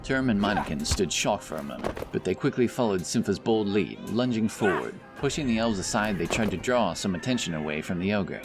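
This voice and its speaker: A man's voice: fairly low pitch, expressive, brisk, few pauses. A man narrating a scripted fantasy audiobook as storyteller. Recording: narration over loud background music. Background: music, outdoor sound effects.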